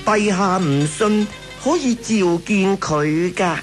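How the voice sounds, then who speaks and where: A woman's voice: medium pitch, slow, faint music behind, lightly acted. A woman playing a coy, coaxing imperial consort in a radio drama. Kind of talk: Cantonese radio drama acting, 1980s.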